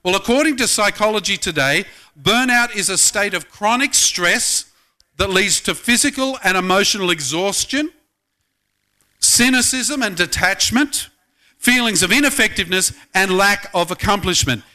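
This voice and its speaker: Middle-aged male pastor, high bright voice, chatty sermon, keeps asking the room questions. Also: expressive, moderate pace. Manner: conversational, asks the congregation many questions.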